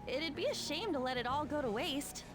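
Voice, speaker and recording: High, sweet teenage girl. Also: lively intonation, fairly quick. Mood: playful. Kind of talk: video game voice acting. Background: game music.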